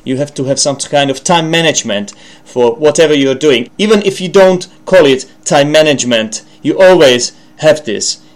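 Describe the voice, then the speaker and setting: A man with a fairly high voice and a Czech accent presents an informal English lesson for a podcast. He talks in a rambling way, corrects himself as he goes, and slips in asides and little questions to the listener. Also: moderate pace. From Czech Republic. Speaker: language podcast host and teacher.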